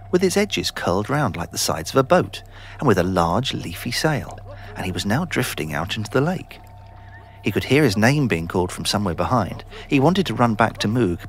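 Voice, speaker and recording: A man narrating a scripted children's story in an expressive storytelling manner. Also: fairly low pitch, vocal fry, slight background noise.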